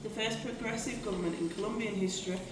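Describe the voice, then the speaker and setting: A woman's voice: mid-range voice, quick pace. British woman chairing a meeting in an echoey hall. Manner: reads scripted text with frequent restarts and asides to the audience. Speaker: meeting chair, union general secretary. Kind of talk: chairing a conference fringe meeting, reading prepared remarks. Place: conference meeting room. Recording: echoey hall, voice from far off, noisy.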